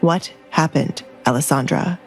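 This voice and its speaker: A woman's voice: deep voice, a little slow, voice pushed down, low-quality recording. A woman voicing a curt, imperious nobleman, a duke in a romance audio drama. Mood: commanding. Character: commanding, blunt young male duke. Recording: background music under the voice.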